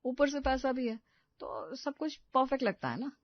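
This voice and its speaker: A woman's voice: sits high, sing-song, moderate pace, no echo, muffled recording, pitched above the performer's own voice, full character voice. A woman voicing a casual husband in a storybook tale, offhand and placating as he defends himself. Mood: admiring, teasing. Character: casual, defensive husband admiring the neighbour's glamorous wife.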